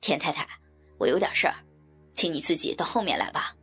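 Lady doing a creepy menacing guy for an audiobook, low n raspy, mocking, kinda sharp edge. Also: sing-song, faint music behind, exaggerated voice. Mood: calling out. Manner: slow, taunting delivery. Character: lecherous, menacing male vegetable-shop villain, mocking and coercive.

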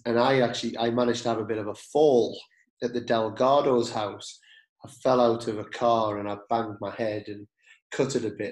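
A male podcast host chats in a relaxed way, often agreeing briefly and telling his own story. His mid-range voice is heard in an echoey room. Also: mid-range voice. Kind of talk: conversational interview.

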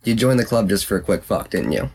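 A man playing a sly, sharp-tongued young male fox student in an audiobook. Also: fairly low pitch, big pitch swings, fast talker, creaky, breathy edge, exaggerated voice. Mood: suspicious, terse. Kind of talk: acted audiobook character dialogue.

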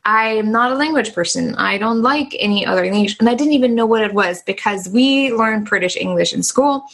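A woman's voice: fairly high pitch, fairly quick, fairly bright tone. A woman from East Germany with a German accent, chatting loosely as an interview guest, often restarting her sentences. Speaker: guest, transformation coach. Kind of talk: casual interview storytelling.

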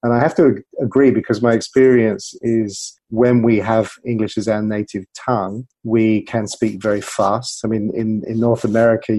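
A man's voice: fairly low pitch, dark tone, slightly rough, no room sound, rough recording. A man speaking as a communication coach in an interview, explaining things through anecdotes. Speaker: communication coach and former broadcaster.

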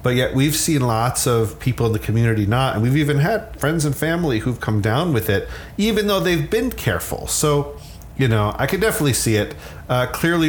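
An American man talking casually as an expert guest, thinking aloud in a halting way. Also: mid-range voice, a little hiss or hum. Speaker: sleep scientist, regular podcast guest. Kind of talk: casual interview talk.

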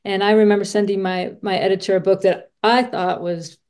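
A woman's voice: mid-range voice, fairly even intonation, brisk, darkish tone, clean tone. A woman podcast host chatting casually in an interview, thinking aloud and often rephrasing as she goes. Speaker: children's author.

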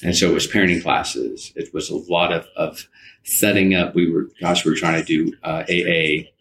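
A man in his fifties answering interview questions conversationally, haltingly, often repeating words and restarting his sentences. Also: sits low, steady tone, a bit bright, a little hiss or hum, poor audio. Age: 50s.

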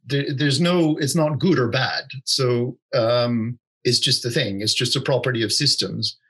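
Husky-voiced man explaining ideas, thoughtful. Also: medium pitch, a bit bright. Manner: thoughtful, restarts often, says "you know" and "right?". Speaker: interview guest. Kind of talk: conversational explanation.

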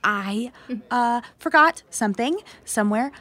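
An actress playing a breezy, teasing teenage best friend in an audio drama, with a casual, playful drawl. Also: female voice, on the high side, animated, measured pace, fairly bright tone. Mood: perky, teasing.

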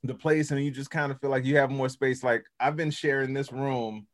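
A man's voice: mid-range voice, fairly even intonation, brisk. A man hosting a podcast, chatting casually and animatedly with slang and many restarts. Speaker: podcast host. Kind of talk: casual chat.

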